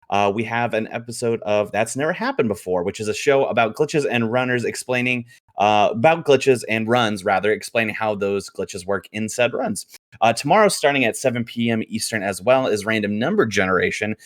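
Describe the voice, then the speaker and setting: A man's voice: on the low side, a bit bright. A man hosting a livestreamed gaming show, speaking to viewers as its presenter. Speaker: show host. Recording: background music under the voice.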